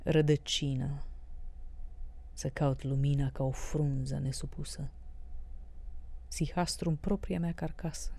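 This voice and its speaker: Woman reading a poem out loud, creaky dark voice, pretty even pitch. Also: pauses often, no echo. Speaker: poetry reader. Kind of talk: poetry recitation.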